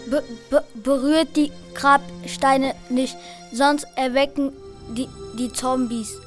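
A woman's voice: high voice, steady tone, slow pace, faint music behind. A young female amateur playing a cursed forest figure who stutters heavily in a school radio play. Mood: halting, solemn. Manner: heavy stutter on first syllables. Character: stuttering cursed forest spirit, slow and solemn helper.

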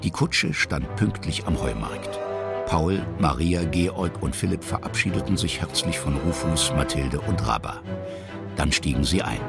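A man's voice: a bass voice, expressive, unhurried, gravelly. A man narrating a historical radio play for children in a scripted storytelling style. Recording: loud music under the voice. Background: music.

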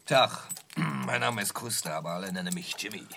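A man's voice: low-pitched, brisk, vocal fry, bright, forceful tone, slight background noise, full character voice. An actor playing a brash, slangy concert promoter with worries about his business, in a comic radio play. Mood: boastful, chummy. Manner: slangy, boastful, rambling.

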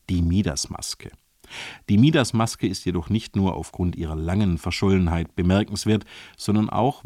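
A man presenting a scripted literary introduction on the radio in a measured, formal way. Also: sits low, warm tone, dry recording, clean studio sound. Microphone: close studio microphone.

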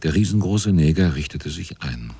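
An actor narrating a radio play as a reflective seaman, in a dark, husky, measured voice. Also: rumbling low, animated, no echo, full character voice. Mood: reflective, wry. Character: reflective seaman recounting his shipmates' voyage.

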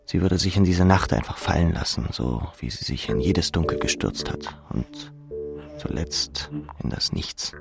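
A restless, grieving young clubber in a literary audiobook. The voice is deep and creaky, with music behind it. He addresses himself in dramatic, clipped, self-lacerating phrases. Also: expressive, measured pace, full character voice. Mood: brooding, grieving. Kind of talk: literary second-person monologue.

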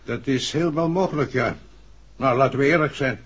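A man's voice: mid-range voice, normal speed, breathy edge, close to an everyday voice. An actor playing a discreet, candid male senior police chief in a 1960s Dutch radio drama. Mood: frank, confidential. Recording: dull, muffled 1960s radio recording.

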